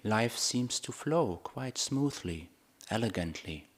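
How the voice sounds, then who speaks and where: A man guiding a meditation as a Buddhist teacher, speaking in short, measured phrases with long pauses between them. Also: lowish voice, expressive. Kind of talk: guided meditation talk.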